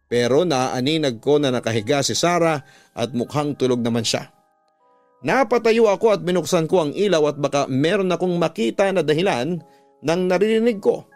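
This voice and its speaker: A man with a Filipino accent narrates a first-person story in a scripted, dramatic and measured radio storytelling style. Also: a little high. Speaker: radio drama host narrating listener letters. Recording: music bed behind the voice. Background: background music.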